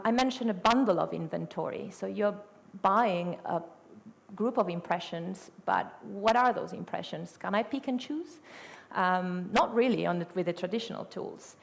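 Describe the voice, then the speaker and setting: A woman's voice: fairly low pitch, creaky. A middle-aged woman from Argentina with an Argentine Spanish accent, a native Spanish speaker, giving a conference presentation full of fillers, self-corrections and rhetorical questions. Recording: reverberant hall.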